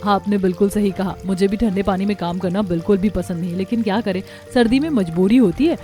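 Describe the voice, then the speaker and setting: A woman's voice: medium pitch, quick pace, mellow, soft background music, natural voice. A woman voicing a polite young daughter-in-law in a Hindi family cartoon. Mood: polite, chatty. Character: polite young daughter-in-law, dutiful but quietly fed up.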